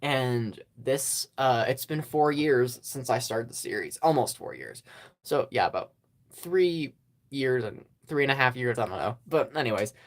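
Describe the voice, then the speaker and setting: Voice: mid-range voice, animated, unhurried. A YouTube host in a casual, rambling channel update, hesitant and self-deprecating, with many ums and small laughs. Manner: self-deprecating about own past work. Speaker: stop-motion channel host.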